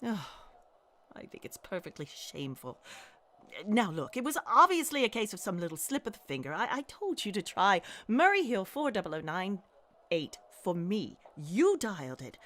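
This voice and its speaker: A woman's voice: medium pitch, very animated, moderate pace, a bit bright. A woman acting an imperious, panicky married woman in a radio play, haughty, agitated and insistent. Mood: indignant, scolding.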